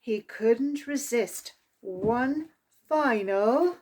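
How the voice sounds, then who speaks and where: A woman reading a picture book aloud to young children in an animated, expressive storytime manner. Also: a little high, drawn-out words, husky. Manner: expressive, with exclaimed sound effects and asides to listeners. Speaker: library storytime reader.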